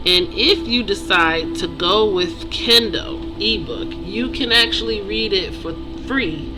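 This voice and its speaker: An author presenting their own book in a nervous, halting voice with small stumbles and self-corrections. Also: mid-range voice, rough recording. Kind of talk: read-aloud fiction, then casual promotion. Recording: music playing behind voice. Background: music.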